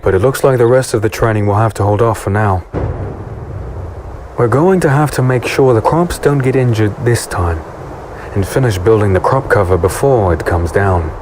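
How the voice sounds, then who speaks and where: A man's voice: lowish voice, moderate pace, background noise, close to an everyday voice. A man playing an easygoing, teasing swordsman hero in an audio drama, speaking and narrating in a wry, conversational way. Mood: wry, relaxed. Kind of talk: audio drama dialogue and first-person narration. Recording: music bed behind the voice.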